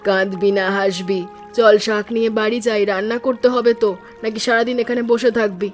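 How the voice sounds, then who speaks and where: A woman's voice: medium pitch, steady tone, moderate pace, natural voice. Affectionate village aunt played by a woman, music behind. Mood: fond. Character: affectionate middle-aged village aunt, nostalgic, gently teasing. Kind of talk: animated story dialogue.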